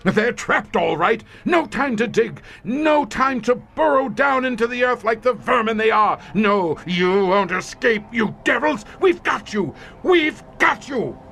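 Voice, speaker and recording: A grim, battle-worn older commander from a horror radio play. His voice is sharp and forceful, and he delivers ornate sentences with weary, deliberate weight. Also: very high-pitched, expressive, creaky, a little hiss or hum, heavily acted. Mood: gloating, frenzied. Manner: eloquent, florid, deliberate speech. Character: grim, weary veteran commander of secret tunnel monster-hunting police. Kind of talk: dramatic audio horror acting.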